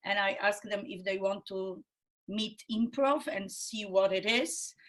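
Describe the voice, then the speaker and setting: Slow-speaking Polish woman. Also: medium pitch. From Poland. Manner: hesitant, many pauses and fillers. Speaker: improv theatre trainer, guest. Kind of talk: casual interview talk in a second language.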